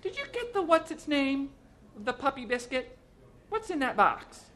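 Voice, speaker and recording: Man putting on a high-voiced nagging middle-aged wife. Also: big pitch swings, measured pace, pushed and bright, heavily acted. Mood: impatient nagging. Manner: querulous, demanding questions. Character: nagging, impatient middle-aged wife scolding her husband.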